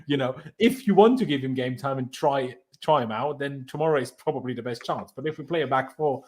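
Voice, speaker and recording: A man's voice: medium pitch, a little fast, a little mellow. A man from Austria, a native German speaker, hosting a casual football podcast chat, chatty and often laughing. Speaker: host.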